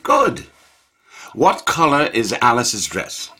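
An actor in a radio drama playing a brisk, soothing early 1900s family doctor with a husky voice. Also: male voice, mid-range voice, full character voice. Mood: reassuring. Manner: professional, soothing, expressive.

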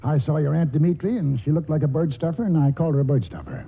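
An actor playing a crotchety, mischievous old grandfather with a gruff, folksy, grumbling manner in a 1940s radio play. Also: on the high side, fast talker. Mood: grumbling, indignant. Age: older. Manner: grumbling, colorful old-fashioned exclamations. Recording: muffled, tinny 1940s radio recording.

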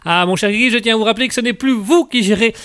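A man's voice: extremely high pitch, fast talker, a bit bright, no echo, studio quality. A man voicing a pompous, downtrodden male journalist who speaks in a formal, speechifying way.

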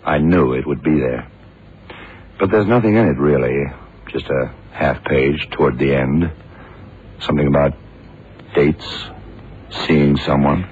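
An actor playing a calm, wry lead investigator in a radio crime drama, unhurried and probing. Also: male voice, rumbling low, husky, exaggerated voice. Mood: measured, probing. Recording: muffled, noisy vintage radio recording.

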